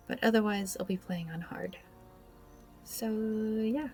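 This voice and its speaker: A woman's voice: mid-range voice, fairly even intonation, takes it slowly, a little mellow, faint music behind. A woman hosting a gaming video with casual, awkward, self-deprecating commentary, speaking hesitantly. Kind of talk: casual let's play commentary.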